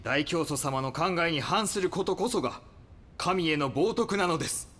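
An actor playing a cold, fanatical cult enforcer, stern and preachy with polite menace, in an audio drama. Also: male voice, on the high side, animated, normal speed, a bit bright. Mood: cold, righteous. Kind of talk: Japanese audio drama acting.

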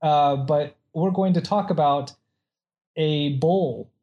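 A man's voice: a little high, slow, dark tone, highs cut off. A man casually chatting and reading out odd facts, rambling with many restarts and frequent laughs.

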